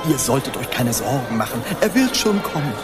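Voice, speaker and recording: An actor as a wildly swooping, fawning court astrologer. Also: male voice, medium pitch, sing-song, a little fast, close to an everyday voice. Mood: smug, soothing. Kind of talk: German radio play acting. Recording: loud music and crowd behind.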